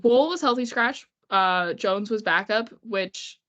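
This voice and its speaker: A congested woman with a cold talks hockey in a casual, chatty way, laughing often and slipping in sarcastic remarks. Her voice sits at a middling pitch. The audio sounds a bit dull and lo-fi. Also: slow pace, fairly bright tone. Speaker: podcast co-host. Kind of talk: casual chat about hockey.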